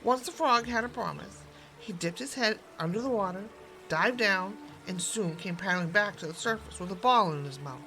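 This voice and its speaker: A woman narrates a fairy tale as a storyteller, reading expressively from a script. Her voice sits at a medium pitch, with music clearly playing behind it. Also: animated, normal speed, pushed and bright, rough recording.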